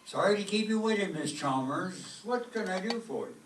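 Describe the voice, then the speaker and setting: An actor playing a courteous, businesslike school superintendent in an old-time radio comedy. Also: male voice, sits high, lively intonation, normal speed, over music. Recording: echoey stage, distant mic, clear noise.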